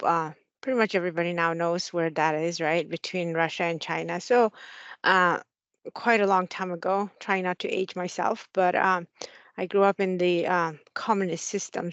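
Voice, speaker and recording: A woman's voice: lowish voice, fairly even intonation, moderate pace, smooth, dry recording, dull audio. A woman in her forties from Mongolia with a Mongolian accent, an Air Force officer answering interview questions earnestly with many fillers. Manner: frequent fillers, friendly and earnest.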